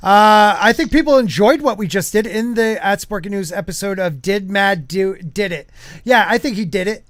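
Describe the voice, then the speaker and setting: A man's voice: high-pitched, normal speed, a bit bright, dry recording, clean studio sound. A man hosting a casual podcast, joking around and reading viewer comments aloud. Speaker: podcast host.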